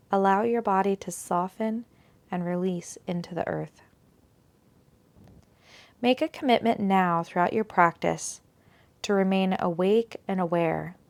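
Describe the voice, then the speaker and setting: A woman teaching a guided yoga nidra meditation in a soothing, unhurried, gentle manner, leaving long pauses between phrases. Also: on the low side.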